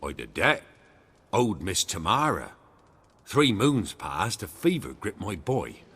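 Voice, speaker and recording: An actor playing a humble, fearful peasant in a video game, earnest and deferential, telling things in rustic dialect. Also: male voice, fairly low pitch, big pitch swings, slow, a bit bright, breathy edge, heavily acted. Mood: earnest, grave. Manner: rustic dialect grammar, deferential, dramatic storytelling.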